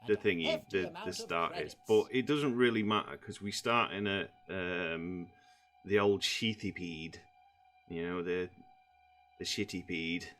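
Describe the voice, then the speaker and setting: A man, croaky from just waking up, rambling through casual gameplay commentary with many fillers. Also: low voice, measured pace, a bit bright, soft background music. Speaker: gaming channel host.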